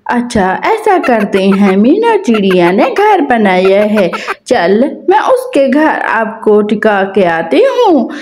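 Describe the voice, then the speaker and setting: A woman's voice: fairly high pitch, wildly swooping pitch, slow, a little mellow, full character voice. A woman voicing a pushy, sly female crow in a cartoon story, with wheedling, exclamatory speech. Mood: scheming, fretting.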